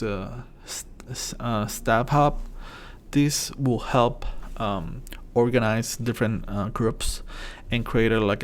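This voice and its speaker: A man's voice: medium pitch, slight background noise. A man from Puerto Rico with a Puerto Rican Spanish accent, a native Spanish speaker, hosting a casual tech tips vlog in English with many fillers and restarts. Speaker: channel host.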